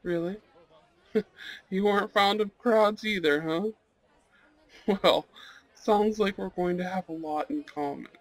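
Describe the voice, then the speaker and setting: A man playing a gentle, earnest young Goth on a blind date in an intimate ASMR roleplay, sincere and a little hesitant. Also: sits high, slow pace, long pauses, low-quality recording. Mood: tender, shyly hopeful. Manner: soft-hearted, hesitant, sincere.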